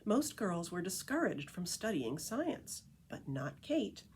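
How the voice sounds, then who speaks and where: A woman reading a children's picture book aloud as a storyteller, with expressive, deliberate emphasis on key phrases. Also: fairly low pitch, a little hiss or hum.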